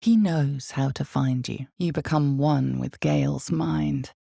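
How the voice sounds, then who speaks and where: Female game narrator: omniscient, ominous, dark mellow tone with heavy fry, measured dramatic delivery, very dry close sound. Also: fairly even intonation, no room sound, full character voice. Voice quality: creaky, low. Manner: slow, deliberate, dramatic storytelling. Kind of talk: scripted second-person dark fantasy narration.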